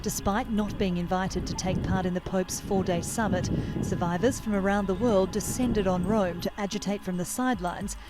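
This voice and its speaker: A woman reporter narrating a scripted news report in a steady, even manner. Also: medium pitch, moderate pace. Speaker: field reporter. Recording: voice-over with loud music bed.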